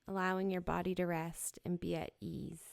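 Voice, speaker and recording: A woman guiding a yoga nidra body scan in a gentle, soothing, unhurried manner with short, spaced phrases. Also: lowish voice, flat delivery, vocal fry, dry recording. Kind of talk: guided meditation, slow body scan.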